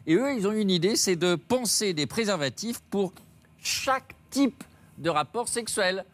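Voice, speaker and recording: A man's voice: high voice, animated, unhurried. A man presenting on French TV, hesitating and amused as he reads out a product description. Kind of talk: reading out a product on air.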